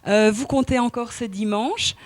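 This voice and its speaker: A woman interviewing a guest for radio, halting as she searches for words. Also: on the high side.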